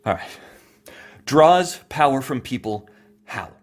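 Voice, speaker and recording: A man's voice: mid-range voice, expressive, takes it slowly. A man playing a playful, pedantic xenobiologist in an audio drama, teasing and quizzing in a precise, professorial manner. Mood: probing, teacherly.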